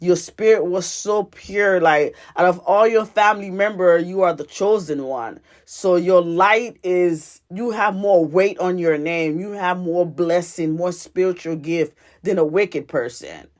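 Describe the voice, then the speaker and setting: A woman's voice: on the low side, a little slow, few pauses, a little mellow. A woman from Haiti with a Haitian accent, giving a prophetic teaching monologue as a spiritual teacher, earnest and repetitive, often restarting her phrases. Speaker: spiritual teacher and life coach.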